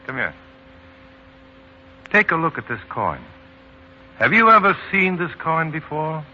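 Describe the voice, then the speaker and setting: An actor playing a brisk, bewildered gentleman, in 1940s radio drama style. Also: a little high, big pitch swings. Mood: exasperated. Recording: muffled, hissy 1940s radio broadcast.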